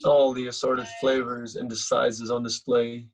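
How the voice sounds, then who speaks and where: Man acting cocky young guy, slightly husky. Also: medium pitch, flat delivery, a little slow. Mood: puzzled. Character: cocky, oblivious young male actor, proud ladies' man. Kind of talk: remote table read of a comedy web series script.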